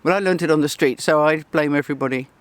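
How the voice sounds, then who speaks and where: A woman's voice: low-pitched, fast talker, no room sound. A woman in her sixties from England with an English accent, chatting as an interview guest on an outdoor bench, laughing often and poking fun at herself. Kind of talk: casual chat.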